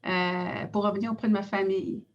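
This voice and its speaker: A middle-aged Innu woman with a Quebec French accent, reading a prepared personal account in a measured way with frequent hesitations. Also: medium pitch, flat delivery, lo-fi sound. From Innu community in Quebec. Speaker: director of an Innu cultural institute.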